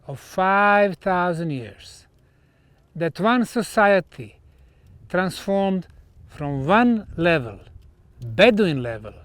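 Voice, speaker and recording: A man presenting to camera in a documentary style, speaking in measured short phrases with pauses between them. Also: high-pitched, fairly bright tone, no echo. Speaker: researcher presenting on location.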